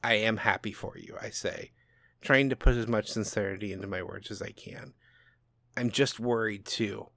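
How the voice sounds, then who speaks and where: A man playing a bitter, self-loathing old father in a subdued, halting audiobook narration. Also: fairly low pitch, fairly even intonation, creaky, bright, forceful tone, no room sound, clean studio sound.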